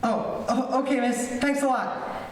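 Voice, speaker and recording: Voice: mid-range voice, steady tone, a little slow, close to an everyday voice. A performer playing an earnest, hapless teenage boy, polite, easily flustered and full of gee-whiz exclamations, in old-time radio comedy style. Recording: distant, very echoey room with hiss.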